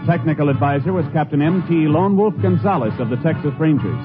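A male announcer reading scripted radio lines in a formal, measured way. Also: fairly high pitch. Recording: tinny old radio broadcast with music.

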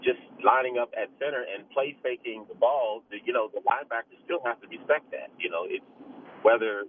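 A man's voice: medium pitch, a little fast. A middle-aged or older American man from Pittsburgh calling a sports radio show on the phone, chatting about football in a rambling way. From Pittsburgh, USA. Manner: rambling, peppered with "you know". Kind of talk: casual football talk. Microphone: telephone. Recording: tinny, noisy phone line.

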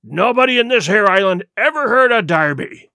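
A man voicing a defiant, cunning pirate leader in a storybook, with emphatic, rough seafaring speech. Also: high voice, expressive, normal speed, sharp tone, no echo, exaggerated voice. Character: defiant, cunning male pirate ringleader, bold and scornful.

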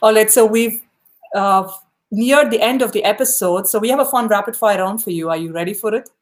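A woman's voice: medium pitch, a little fast. A woman hosting a live podcast, chatting casually and encouragingly with her guest, often saying "you know". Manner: upbeat, encouraging, many "you know" fillers. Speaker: podcast host. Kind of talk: casual interview chat.

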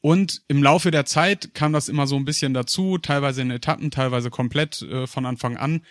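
A man's voice: mid-range voice, fairly even intonation, normal speed, no room sound, clean studio sound. A young German man co-hosting a podcast, telling rambling personal stories in casual chat, with fillers and frequent laughs. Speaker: podcast co-host.

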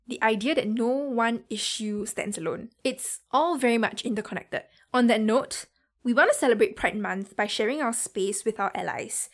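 A woman hosting and producing a podcast, reading a measured, earnest intro and then chatting with her guest. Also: medium pitch. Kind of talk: scripted podcast intro then conversational interview.

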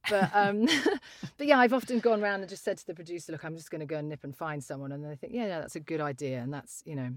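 A British woman with a very low voice chats about her voiceover work. She talks in a loose, friendly way, full of fillers, restarts and small laughs. The recording is dry and close. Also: quick pace, no echo. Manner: chatty, laughs often, many fillers and restarts. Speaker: voiceover artist guest. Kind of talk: casual interview chat.

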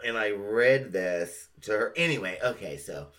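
A man's voice: fairly low pitch, animated, unhurried, a bit bright. A man co-hosting a casual chat show with readings of poems, easily moved and prone to breaking up. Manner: emotional, breaks up laughing and tearing up. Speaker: podcast co-host.

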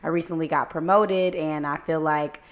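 A slightly hoarse young woman, co-hosting a casual faith podcast, upbeat and reflective, laughing easily. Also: sits low, steady tone, normal speed, a crowd in the background. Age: young adult. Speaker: podcast co-host.

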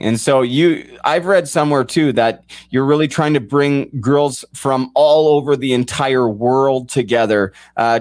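A man's voice: mid-range voice, no room sound, clean studio sound. A man hosting an interview, rambling and repeating words as he frames his questions. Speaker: podcast host. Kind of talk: casual interview chat.

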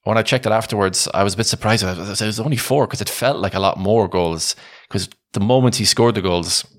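A man's voice: on the low side, brisk. A man chatting casually on a sports podcast, repeating phrases for emphasis.

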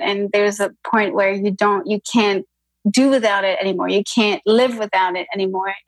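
Woman, French-Spanish accent, slightly breathy voice, dry close sound, hesitant, guest on a podcast. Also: medium pitch, dry recording, poor audio. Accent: French and Spanish influenced. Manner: thoughtful, hesitant, many fillers and restarts. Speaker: yoga teacher, podcast guest. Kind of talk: casual interview chat.

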